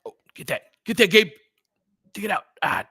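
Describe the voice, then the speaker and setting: A man with a bright, forceful voice hosts casual live sports commentary. He talks conversationally, as if straight to his listeners, moving between play-by-play and analysis. The sound is close and dry. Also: high-pitched, moderate pace, breathy edge, no room sound. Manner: conversational, chatting back to listeners, mildly profane.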